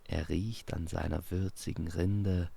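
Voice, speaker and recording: A man with a very low, gravelly voice tells a fantasy tale as a storyteller. His delivery is calm and very slow, soothing like a bedtime story. Faint ambience sits behind the voice. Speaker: storyteller and host.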